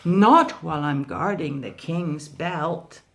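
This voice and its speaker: A woman voicing a small, quick-witted male mouse deer in a children's storybook, gabbling fast to talk his way out of trouble. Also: low-pitched, a bit bright, exaggerated voice. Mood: protesting. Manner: gabbling, clever, talks his way out. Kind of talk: storybook read-aloud.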